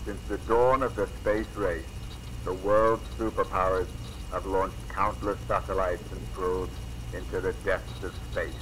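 A man plays a solemn with a low voice, delivering a formal political address. His delivery is measured and oratorical, as in an audio drama, over clear background noise. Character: grave, formal British Prime Minister giving a presentation. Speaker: prime minister in an audio drama.

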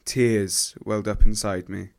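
A man's voice: on the low side, fairly even intonation, a little slow, vocal fry, a little mellow. Man acting a grieving young soldier, solemn and sorrowful. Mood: grieving, shaken. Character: grieving young First World War soldier, friend of the fallen. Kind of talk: radio play dramatic monologue.

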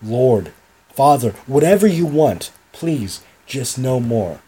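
Dude doing a scared boy begging, pleading, kinda dark mellow voice. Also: mid-range voice, very animated, slow, husky, exaggerated voice. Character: terrified young captive boy begging.

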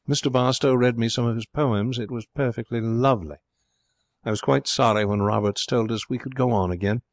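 A man's voice: mid-range voice, brisk, no echo, voice pushed up, lightly acted. A man voicing a young society woman in a story, airy, polite and coolly cutting. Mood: airy, pointed.

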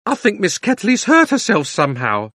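A man voicing an excitable, deferential housemaid in a storybook. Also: high-pitched, normal speed, no echo, studio quality, pitched above the performer's own voice. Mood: thrilled. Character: excitable housemaid, a servant woman.

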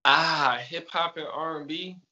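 A man's voice: on the high side, measured pace, pushed and bright, lo-fi sound. A man from Atlanta with a Southern accent, a rapper talking as a podcast guest in a laid-back way. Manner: laid-back, repeats yes often. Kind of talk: casual interview chat.

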